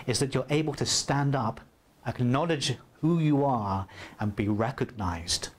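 A man in his forties giving an upbeat, persuasive promotional pitch to camera in an indoor room, on a lapel mic. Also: breathy edge. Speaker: course presenter. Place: indoor room by a flip chart.

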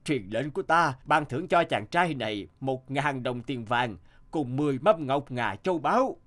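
Man as elderly king, ailing, stately, gracious, animated intonation, cartoon folktale. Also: medium pitch, moderate pace, close to an everyday voice. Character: ailing old king, a grateful, loving father.

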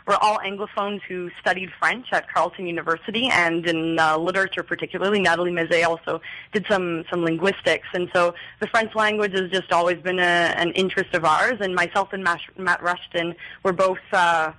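A woman's voice: fairly low pitch, fairly even intonation, brisk, pushed and bright, low-quality recording. A young woman from New Brunswick, a magazine editor, answering questions thoughtfully in a radio studio interview, with many fillers and restarts. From New Brunswick, Canada. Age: young adult. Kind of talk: radio interview, reading aloud.

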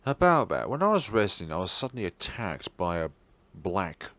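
A man's voice: low voice, very animated, normal speed, fairly bright tone, no room sound. A man voicing a frightened, bitter teenage schoolboy in a visual novel, agitated and sarcastic. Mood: shaken, sarcastic. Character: distraught, bitter teenage schoolboy lashing out in fear.

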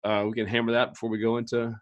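Hoarse, energetic man hosting. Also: medium pitch, rapid-fire, dull audio. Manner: energetic, gestures a lot. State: hoarse from allergies. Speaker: host. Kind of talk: excited livestream pitch. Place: home office with bookshelves. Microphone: headset and studio mic.

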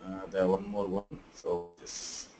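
A tired, sleepy man teaching a live online class, talking through his screen in a halting way full of fillers. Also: deep voice, flat delivery, roomy sound, low-quality recording. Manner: halting, thinks aloud with many fillers. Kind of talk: live screen-share tutorial.

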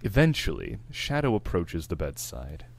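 A man's voice: sits low, sing-song, normal speed, vocal fry, slight background noise. A man reading amateur fan fiction narration, with stage directions told in the present tense.

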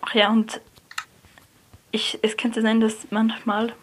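A young person, likely a teen, giving hesitant gameplay commentary, often correcting themselves and dropping to a near whisper. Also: female voice, a little high, completely flat delivery, slow, a bit bright, slightly rough. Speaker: let's player. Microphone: phone screen recorder mic. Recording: noisy lo-fi phone recording.

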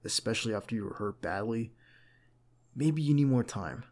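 A man playing a protective, caring bodyguard in an ASMR roleplay, talking conversationally and with gentle insistence to his boss. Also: mid-range voice, animated, normal speed, close to an everyday voice. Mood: earnest, concerned. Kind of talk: ASMR roleplay acting, one side of a dialogue.

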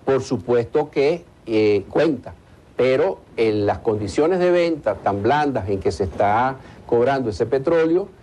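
A man, giving hesitant, deliberate analysis in a television interview. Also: medium pitch, expressive, warm tone. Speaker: economic analyst being interviewed. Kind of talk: live television news interview. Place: TV studio in Caracas.

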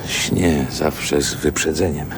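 An older male actor playing a reflective poet recalling his youth in a radio drama, speaking in a measured literary manner. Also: very deep voice, fairly even intonation, noisy background. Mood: calm, wistful. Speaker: narrator and protagonist.

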